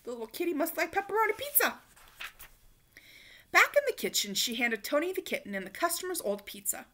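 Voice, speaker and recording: A woman reading a children's picture book aloud in an expressive, emphatic way, acting it out for young listeners. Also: extremely high pitch, brisk. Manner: animated, emphatic, acts out dialogue. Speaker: storybook reader. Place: small living room, indoors on a couch.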